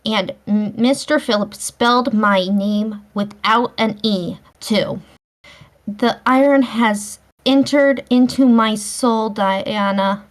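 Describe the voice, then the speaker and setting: A woman reading a storybook, voicing an earnest, dramatic schoolgirl who speaks with deliberate dignity. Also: mid-range voice, lightly acted. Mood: wounded dignity.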